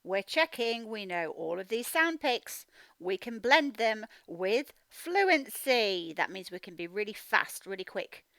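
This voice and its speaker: A woman teacher giving a children's read-aloud phonics lesson, speaking in an animated, emphatic, instructional way and sounding out words. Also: mid-range voice, measured pace, no echo. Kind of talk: children's read-aloud lesson, explaining directly to young learners.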